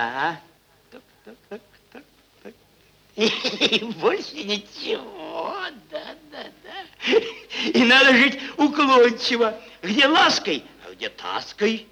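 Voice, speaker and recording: Theatrical audio drama: a crude, cynical merchant with a bright, forceful voice. He swings widely in pitch as he mocks, rambles and circles back over the same words. He takes his time, bullying and needling at every turn. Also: big pitch swings, slow pace, vocal fry, full character voice, poor audio. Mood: rambling musing. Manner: rambling, repeats words, chuckles, mocking. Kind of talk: theatrical stage acting, Russian classic prose.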